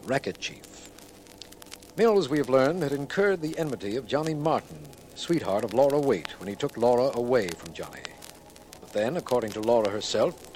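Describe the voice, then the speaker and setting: Insistent actor as a district attorney, lively intonation. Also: male voice, medium pitch, close to an everyday voice. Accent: American. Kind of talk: 1940s American radio drama acting. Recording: noisy 1940s radio recording.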